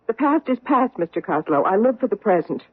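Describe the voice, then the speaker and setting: A woman's voice: medium pitch, very animated, a little fast, breathy edge. An actress playing a defensive, evasive housewife hiding her past, in a 1970s radio drama. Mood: guarded. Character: guarded middle-aged American housewife hiding her past. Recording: tinny old radio broadcast.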